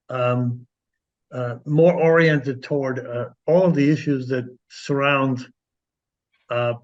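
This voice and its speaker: Older American man, congregation member reflecting on his past; mid-pitched, measured and halting, somewhat muffled audio. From United States. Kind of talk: reflective personal testimony.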